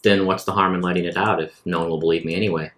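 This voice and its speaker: A man's voice: sits low, steady tone, very fast, fairly bright tone. A man playing a tabletop roleplaying game, talking casually in character.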